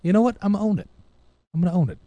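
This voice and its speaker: A man's voice: high voice, sing-song, racing, warm tone, dry recording. A man co-hosting a podcast, talking in casual, joking banter. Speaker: podcast co-host.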